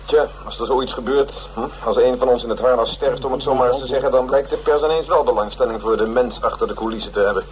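An actor playing a pompous, self-pitying older theatre director in a radio drama, speechifying. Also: male voice, mid-range voice, fast talker, few pauses, slight background noise, close to an everyday voice, low-quality recording. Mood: bitter, wounded.

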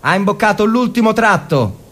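A male actor reads fiction aloud in a theatrical, suspenseful way. His voice is somewhat high for a man, and the delivery is that of a dramatic literary reading. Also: expressive, normal speed, fairly bright tone. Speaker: actor reading a short story.

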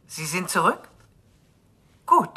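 Low-voiced, reverberant female client. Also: big pitch swings, takes it slowly, sharp tone, husky, heavily acted. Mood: insistent, cool. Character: elegant, forgetful female client, a war reporter, on videophone. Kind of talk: radio play acting. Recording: long reverb, music behind.

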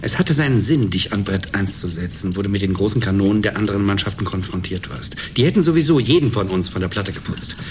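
An actor playing a tired, resigned middle-aged man in a German radio drama, speaking in a subdued, measured way. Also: lowish voice, expressive, lightly acted. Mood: frank, regretful. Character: weary, resigned middle-aged chess player, quietly defensive. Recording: noisy 1980s radio drama recording.

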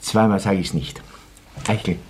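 A man acting in a radio play as a domineering, stubborn, blustering husband and politician, gruff and bossy. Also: sits low, steady tone, a little slow, a little hiss or hum. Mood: bossy, irritated.

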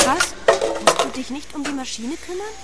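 An actress playing a naive, cool young artificial intelligence in a radio play, speaking in measured, literal, evasive phrases over music. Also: female voice, high voice, animated, very noisy. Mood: guarded. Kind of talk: German radio play acting. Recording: music bed under the voice.

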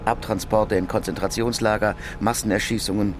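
An actor playing a cool, controlling secret agent in a radio drama, brisk and cagey. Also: male voice, lowish voice, fairly even intonation, a little hiss or hum, close to an everyday voice. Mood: cagey, controlled.

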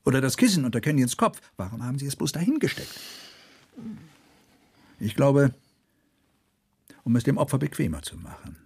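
A man's voice: medium pitch, sing-song, normal speed, long pauses, darkish tone. An actor playing a troubled, sleepless male murder investigator who broods and thinks aloud in a radio drama. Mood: brooding, weary. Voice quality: husky.

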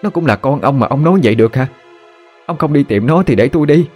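A man voicing a frantic middle-aged mother who pleads in a high, wailing voice. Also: quick pace, a little mellow, soft background music, lightly acted. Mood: indignant.

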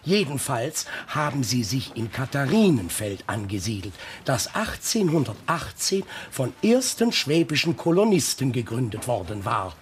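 Actor as eager, pedantic town archivist. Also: male voice, mid-range voice, normal speed, slight background noise, close to an everyday voice. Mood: excited. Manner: excited, lecturing. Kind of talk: radio play dialogue.

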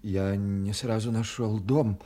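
A man's voice: lowish voice, steady tone, takes it slowly, mellow, a little hiss or hum. A male actor playing an earnest, anxious young man in a radio play, hesitant and polite. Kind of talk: Russian radio play acting.

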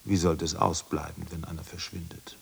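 An actor playing a sardonic, dismissive commentator in a literary radio drama, making dry, mocking and pointed remarks. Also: male voice, low voice, level tone, moderate pace, creaky, a little hiss or hum. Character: sardonic, dismissive male commentator narrating futile efforts.

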